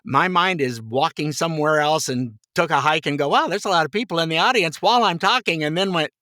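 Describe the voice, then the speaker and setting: A man's voice: a little high, a little fast, no echo. A man in his sixties chatting casually as a podcast guest, telling anecdotes and acting out quoted remarks, close on a studio mic. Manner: animated storyteller, acts out quoted remarks, chuckles as talking. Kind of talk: casual anecdotal interview chat. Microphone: close studio microphone.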